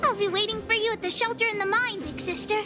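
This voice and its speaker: A woman's voice: very high-pitched, fairly even intonation, quick pace, loud music behind the voice. A woman voicing a bossy, earnest, self-important little girl in a video game. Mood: bossy, eager. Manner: childishly self-important, speaks of herself in third person.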